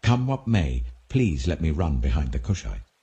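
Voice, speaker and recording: A man's voice: rumbling low, sing-song, normal speed, warm tone, exaggerated voice. A man voicing an eager young messenger, pleading and urgent, in a scripture audiobook. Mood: eager, insistent.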